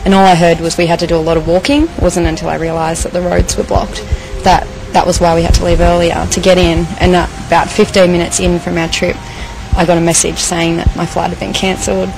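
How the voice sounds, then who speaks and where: Low, creaky-voiced woman in casual interview. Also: little pitch movement, a little fast, few pauses, over music, rough recording. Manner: informal, conversational, uses "you know" often. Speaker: stranded tourist interviewee.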